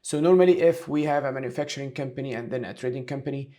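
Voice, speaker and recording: A man giving a step-by-step software tutorial, talking through each action aloud as an instructor. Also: medium pitch, fast. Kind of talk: screen-share tutorial, explaining step by step.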